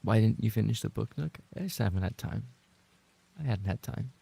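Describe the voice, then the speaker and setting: A lowish male voice, thick with fry and very husky. He is a streamer talking casually to his chat, rambling along with playful banter. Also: brisk, warm tone. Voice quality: gravelly, husky. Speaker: livestreamer and ASMR voice actor reading chat.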